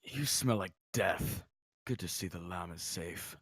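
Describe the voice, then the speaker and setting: Casting: man as haughty male knight, deep and slow, hushed, fantasy table read. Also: breathy edge, no echo, studio quality, heavily acted.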